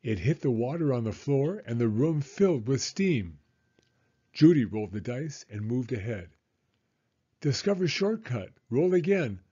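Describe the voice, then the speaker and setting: A man reading a children's storybook as an expressive, dramatic narrator. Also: medium pitch, normal speed, slightly rough, dull audio.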